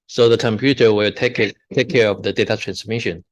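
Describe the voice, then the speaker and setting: A man's voice: fairly low pitch, fairly even intonation, brisk. A man answering audience questions at a conference, in brief replies with frequent yeses. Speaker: conference speaker and session chair. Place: conference room.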